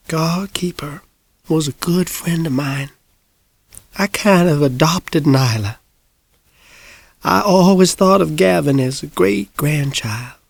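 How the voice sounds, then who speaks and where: Man voicing an ancient, breathy Southern old lady, slow. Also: fairly high pitch, no echo, pitched above the performer's own voice, exaggerated voice. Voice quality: breathy, quavering, palsied. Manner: slow, drawling country speech. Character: frail 106-year-old Southern woman, folksy, sly, warm.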